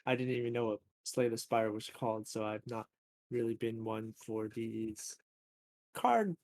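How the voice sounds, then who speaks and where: A man hosting a podcast, chatting casually in a relaxed way with plenty of fillers. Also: lowish voice, unhurried. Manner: relaxed, filler-heavy, laid-back gamer talk. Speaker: podcast host. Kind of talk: casual chat.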